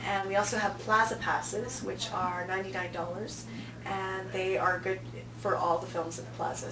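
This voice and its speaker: A woman talking conversationally as an interview guest, stressing key words as she promotes events. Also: lowish voice. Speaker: interview guest, film festival programming director. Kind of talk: casual promotional interview talk. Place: indoor room, on-location video interview. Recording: roomy, distant mic, clear background noise.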